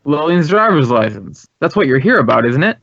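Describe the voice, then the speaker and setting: An American actor playing an earnest, courteous and talkative director of a drama school in an old radio drama. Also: male voice, a little high, very animated, fairly quick, vocal fry, fairly bright tone, no echo, full character voice. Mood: puzzled, chatty. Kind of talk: 1950s radio acting. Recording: dull, dry old radio recording.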